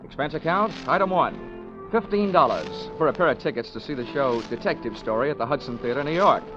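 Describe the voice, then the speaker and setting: An actor playing a cynical, hard-boiled American investigator, narrating and talking with dry, breezy sarcasm in 1940s radio style. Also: male voice, on the high side, expressive, brisk, lightly acted. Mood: sardonic narration. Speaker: lead and first-person narrator. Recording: dull vintage radio recording, music behind.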